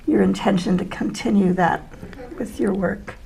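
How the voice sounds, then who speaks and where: A woman's voice: mid-range voice, fairly even intonation, moderate pace, gravelly, dark tone, low-quality recording. A middle-aged woman hosting a casual talk show, chatting and asking questions of a guest. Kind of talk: casual interview chat. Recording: faint background room noise.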